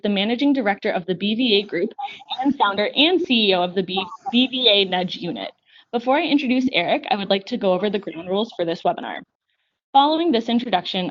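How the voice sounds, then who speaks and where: A young woman moderating a webinar, reading a formal introduction clearly and steadily over a video call. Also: medium pitch. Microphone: video call microphone. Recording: slightly dull lo-fi online call audio.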